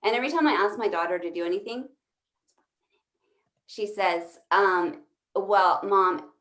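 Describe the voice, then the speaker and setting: A woman's voice: medium pitch, measured pace, a bit bright. Rambling woman comic in an echoey room. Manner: rambling, profane, self-interrupting. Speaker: stand-up comedian. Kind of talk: conversational stand-up comedy.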